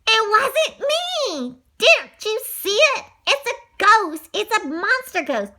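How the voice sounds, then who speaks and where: A woman voicing an eager young boy, the new kid, who pleads excitedly to be believed in a children's story. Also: very high voice, moderate pace, pitched above the performer's own voice, full character voice. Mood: upset, pleading. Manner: excited, exclamatory.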